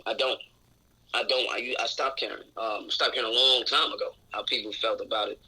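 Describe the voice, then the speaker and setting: Raspy, outspoken young man. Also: mid-range voice, fairly even intonation, brisk, bright, forceful tone, low-quality recording. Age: 20s. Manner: outspoken, open and reflective. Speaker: interview guest. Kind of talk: candid casual conversation. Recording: radio show recording.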